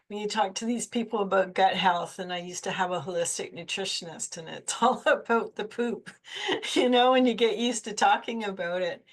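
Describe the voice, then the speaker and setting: A woman speaking candidly as a panelist in a discussion. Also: medium pitch.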